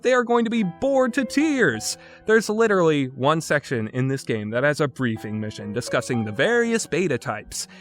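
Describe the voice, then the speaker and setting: A man's voice: on the high side, fairly bright tone. A man giving an opinionated video game review in an exasperated, sarcastic and emphatic way, with casual phrasing.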